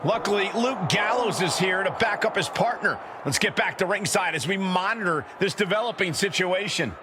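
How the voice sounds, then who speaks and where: Man as excited play-by-play announcer, high voice, loud slamming arena noise, wrestling game. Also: moderate pace, close to an everyday voice. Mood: urgent, excited. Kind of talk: sports commentary in a game.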